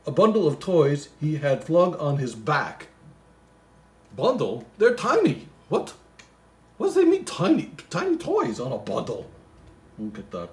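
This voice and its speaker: A man's voice: on the high side, wildly swooping pitch, unhurried, exaggerated voice. A man playing a goofy, baffled storybook reader who mixes poem recital with slangy, mock-indignant muttered asides. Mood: bewildered. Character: Floyd, goofy, baffled, easily sidetracked male storybook reader. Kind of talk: comic poem reading with rambling asides.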